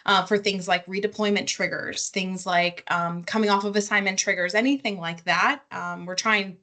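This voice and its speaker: Woman, bright crisp tone, webinar panelist, conversational business explanation. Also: mid-range voice, steady tone, moderate pace. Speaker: company representative on panel. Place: online webinar call.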